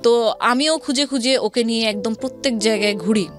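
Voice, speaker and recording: Female interview guest, Bangladeshi accent, slightly high voice, conversational storytelling with English words mixed in, music clearly underneath. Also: a little fast. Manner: mixes English words into speech.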